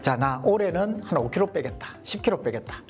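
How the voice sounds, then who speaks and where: Man, coach, softened Gyeongsang accent, lively pitch, rhetorical questions, soft music behind. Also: a little fast. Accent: Gyeongsang Korean, mostly softened. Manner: poses rhetorical questions, explanatory. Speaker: leadership coach. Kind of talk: lecture to a small group.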